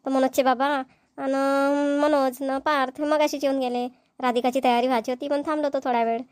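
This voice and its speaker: A woman's voice: high voice, little pitch movement, brisk, a little mellow, no echo, clean studio sound, close to an everyday voice. A woman voicing chatty village women in a cartoon, with a Vidarbha Marathi accent and a folksy, colloquial manner. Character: chatty village women gossiping at a family engagement. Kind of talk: amateur cartoon dialogue acting.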